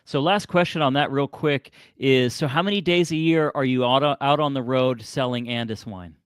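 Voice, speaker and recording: A middle-aged man from California hosting a podcast, asking relaxed questions in a casual interview. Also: medium pitch, moderate pace, clear, resonant tone, no room sound, studio quality. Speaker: podcast host.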